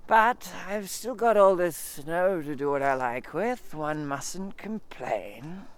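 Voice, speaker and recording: A man's voice: a little high, expressive, clear, resonant tone, pitched above the performer's own voice. Casting: man voicing a mournful old donkey for a storybook reading, dragging, melancholy delivery. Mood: gloomy. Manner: slow, sighing, melancholy drawl. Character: gloomy old male donkey, slow and self-pitying.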